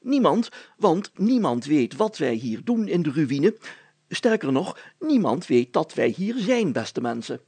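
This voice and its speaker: A man's voice: a little high, big pitch swings, unhurried, dark tone, husky, no room sound, voice pushed up, full character voice. A man voicing a pompous, optimistic village mayor in a storybook, speaking emphatically and with self-importance. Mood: grave, emphatic.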